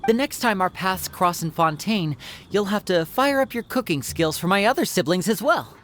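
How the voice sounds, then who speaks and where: A woman voicing a smooth, teasing young stage magician in an anime game. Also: expressive, moderate pace, close to an everyday voice. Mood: playful, affectionate. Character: suave, playful young stage magician, affectionate older sibling. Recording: faint background rumble.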